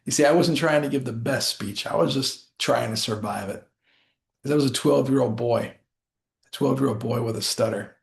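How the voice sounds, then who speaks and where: Gravelly-edged man telling a motivational story. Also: mid-range voice. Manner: deliberate, short phrases with dramatic pauses. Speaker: motivational speaker and educator. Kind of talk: rehearsed motivational storytelling speech.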